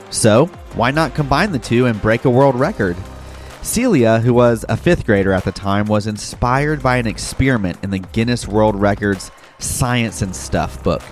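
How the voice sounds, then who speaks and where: A man's voice: on the low side, darkish tone. Encouraging male kids' podcast host over music. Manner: encouraging, addresses young listeners directly. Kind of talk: scripted narration and game hosting for children. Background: background music.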